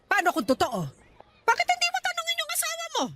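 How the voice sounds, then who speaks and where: A woman's voice: very high-pitched, huge pitch swings, fast talker, very noisy, heavily acted. A woman playing a blunt, suspicious, scolding middle-aged mother in a Filipino radio drama. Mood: insistent. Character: nagging, suspicious mother of a grown married daughter.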